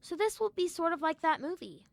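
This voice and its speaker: A woman's voice: very high-pitched, very animated, brisk, gravelly, no echo, full character voice. An actress playing an earnest, curious young girl in a children's audio drama, eager and full of exclamations. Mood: curious, amazed.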